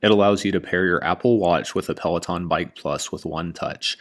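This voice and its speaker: A man reading a scripted product review as host, in a measured, informative way. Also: deep voice.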